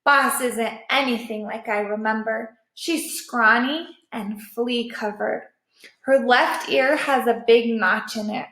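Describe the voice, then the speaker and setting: Woman teacher, high voice, expressive read-aloud for children, explanatory, roomy echo. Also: slow pace. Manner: expressive, checks understanding with questions. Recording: echoey room.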